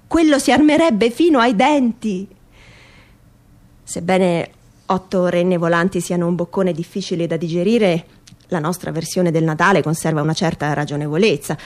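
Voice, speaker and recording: A woman performing an expressive, ironic reading of a humorous story in a theatrical radio style. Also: on the low side. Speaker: performer reading a literary text.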